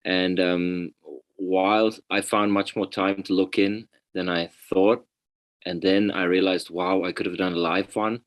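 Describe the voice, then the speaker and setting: A man's voice: sits low, little pitch movement, unhurried. A man speaking as a guest on a video call, shy and rambling before playing his recording. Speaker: guest artist. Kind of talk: casual remarks on a live call.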